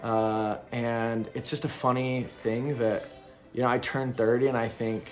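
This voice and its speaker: A man's voice: medium pitch, flat delivery, measured pace, soft background music. A man of thirty talking loosely to camera in a casual reflective vlog, rambling with plenty of ums and likes. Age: 30s. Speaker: vlogger. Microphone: camera in front of him.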